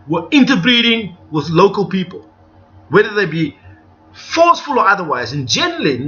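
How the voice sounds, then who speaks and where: A man's voice: sits high, bright, forceful tone. A middle-aged South African man with a South African accent, hosting a live stream with rambling, opinionated commentary full of restarts and asides. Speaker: livestream host. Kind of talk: unscripted live commentary to viewers. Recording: dull lo-fi stream with faint music.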